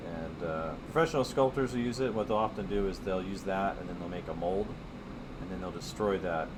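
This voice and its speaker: Low-voiced guy rambling through an art livestream, lots of ums, aircon humming behind. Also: fairly quick, background noise. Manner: chatty and digressive, with many fillers. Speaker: art teacher streaming live. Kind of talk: casual rambling chat while drawing.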